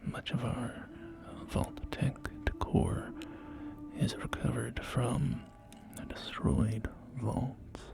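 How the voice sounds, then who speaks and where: A whispered, breathy ASMR roleplay voice playing a polite, genteel real estate agent, unhurried and hesitant, with refined phrasing. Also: medium pitch, steady tone. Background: soft music.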